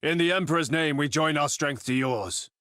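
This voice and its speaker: A man playing a resolute, dignified naval high admiral in a video game, formal and commanding. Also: normal speed, bright, forceful tone, no echo, studio quality. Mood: dignified resolve. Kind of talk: video game cutscene acting.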